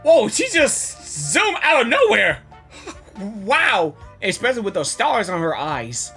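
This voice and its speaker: A woman reacts casually as she plays a game. Her voice is bright and fairly high, lively in pitch and given to giggles and exclamations, with music behind. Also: slow. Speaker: gaming reaction video creator. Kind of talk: casual live reaction commentary.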